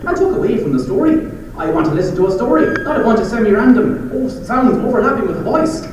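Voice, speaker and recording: Man doing a very high-pitched complaining listener. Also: animated, fast talker. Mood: griping. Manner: emphatic, griping. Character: fussy, complaining audiobook listener reading out review. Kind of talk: live staged audio drama performance. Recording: dull, echoey hall, distant mic, faint noise.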